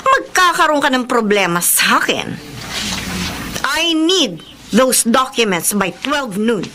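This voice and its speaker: A woman's voice: a little high, sing-song, slow, background noise. An actress playing an arrogant, domineering female assistant manager in a Filipino radio drama. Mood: threatening. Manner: domineering, demanding, threatening.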